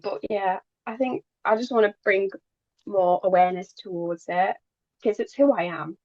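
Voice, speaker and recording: Podcast guest: young woman, Polish native speaker, casual and halting delivery, mid pitch, dry recording. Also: darkish tone, no echo, muffled recording. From Poland, moved to England at ten. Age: young adult. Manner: halting, occasional stumbles over words. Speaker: podcast guest, pageant queen. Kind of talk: casual interview chat.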